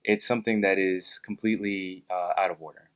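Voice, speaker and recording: Young man, low voice, measured with ums. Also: steady tone. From Miami. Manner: measured, many ums and uhs. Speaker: guest, political club officer. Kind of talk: interview answers.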